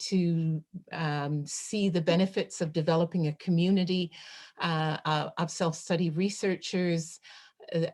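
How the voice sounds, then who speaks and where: Woman, lowish voice, unscripted webinar speaker on a video call, halting and full of fillers. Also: darkish tone. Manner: hesitant, many fillers and restarts. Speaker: chapter author speaking at a webinar. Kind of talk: unscripted academic talk.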